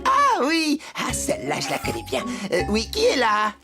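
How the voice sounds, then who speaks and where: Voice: mid-range voice, huge pitch swings, moderate pace. An actor voicing an earnest, literal-minded technical whiz in a children's cartoon, puzzled and exclamatory. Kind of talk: animated children's cartoon dubbing. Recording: loud music behind the voice.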